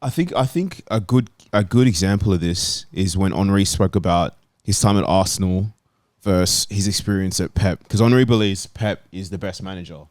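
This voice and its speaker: Male panellist, lowish pitch, dry close sound, conversational and explanatory football talk. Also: normal speed, hardly any pauses, a little mellow, no echo. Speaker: football panel show regular. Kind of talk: casual analytical football discussion.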